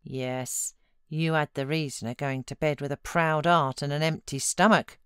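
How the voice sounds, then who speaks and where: A woman's voice: sits low, steady tone, fairly bright tone, smooth, dry recording, studio quality. A British woman voicing a motherly housekeeper who coaxes and scolds frankly in a storybook reading. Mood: chiding. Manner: brisk advice, coaxing and chiding.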